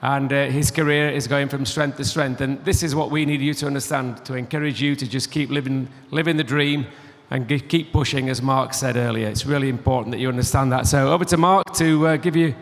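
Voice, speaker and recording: A man speaks from the stage to introduce the next speaker, loose and encouraging, with fillers between phrases. His voice sits at an ordinary middle pitch. The big hall adds a long reverb tail to every line. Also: steady tone, brisk. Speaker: event presenter. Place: large hall.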